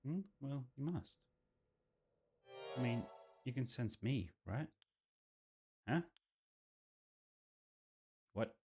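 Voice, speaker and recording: A man's voice: medium pitch, expressive, drawn-out words, long pauses, pitched above the performer's own voice. A man reading out the dialogue of teasing visual novel characters who chat about spirits, with game music behind. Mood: playful, questioning.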